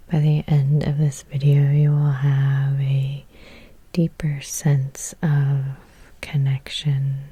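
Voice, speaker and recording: A woman whispering a guided ASMR hypnosis in a breathy, gentle, soothing way, close to the microphone with long pauses. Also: rumbling low.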